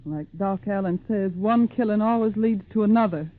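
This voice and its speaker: A woman's voice: medium pitch, moderate pace, loud music behind the voice, natural voice. A woman playing an anxious, folksy hill farm wife with a rural Southern accent, in 1940s radio acting. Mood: worried, pleading. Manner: folksy, fretful. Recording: narrow, tinny 1940s radio recording.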